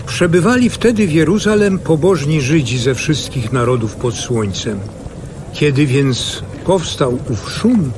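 A man narrating scripture as a scripted, dramatized audio Bible production. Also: a little high. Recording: studio voice over clear background noise effects.

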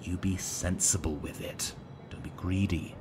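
A man playing an eccentric, quick-witted and evasive centuries-old time traveller in an audio drama, chatty and hesitant, given to exclamations. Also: sits low, steady tone. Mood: brisk, advising. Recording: clear background noise.

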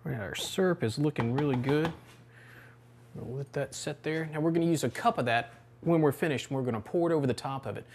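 A middle-aged man hosting a cooking show, talking through a recipe step by step in a relaxed, instructional way from a home kitchen. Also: fairly high pitch, quick pace, noisy background.

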